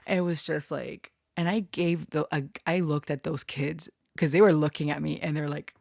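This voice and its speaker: A woman's voice: low voice, steady tone, normal speed, vocal fry, a little mellow, no echo, studio quality. A woman rambling casually to her online followers, giggly and prone to swearing. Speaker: online storyteller and channeler.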